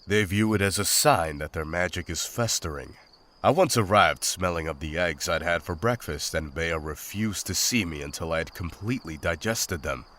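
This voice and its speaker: Man as a deep, deadpan monster hunter. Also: normal speed. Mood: dry. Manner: deadpan, terse. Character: stoic, dry-witted monster hunter man. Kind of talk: visual novel voice acting.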